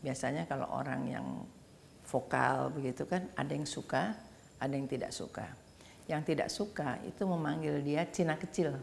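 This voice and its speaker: Female interviewee, low and very gravelly voice, matter-of-fact, light music. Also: moderate pace, a little mellow. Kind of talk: interview remark.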